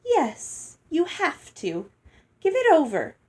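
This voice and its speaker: A woman performs a snobbish, greedy elder sister from a storybook. Her voice is high and swoops grandly, condescending and bossy under a coating of false sweetness. She takes her time over her words. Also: wildly swooping pitch, takes it slowly, heavily acted. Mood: commanding.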